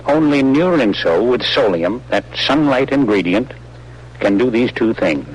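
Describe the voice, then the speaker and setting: A man's voice: fairly low pitch, slightly rough, low-quality recording. A man reading a vintage radio advertisement as a commercial announcer, in an emphatic, persuasive manner. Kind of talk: 1950s radio advertisement read.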